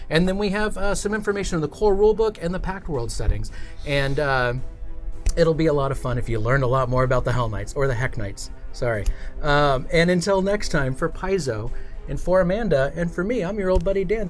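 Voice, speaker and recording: A man hosting a gaming livestream in a casual, friendly, chatty way. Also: fairly high pitch.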